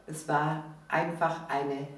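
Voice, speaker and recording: A woman from Cologne hosts a talk show with slow, deliberate, emphatic speech. Her voice sits at a middling pitch. It rings heavily around the room, picked up at some distance from the microphone. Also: flat delivery, heavy reverb. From Cologne, Germany. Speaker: host of a local talk show. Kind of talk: spoken introduction and interview chat.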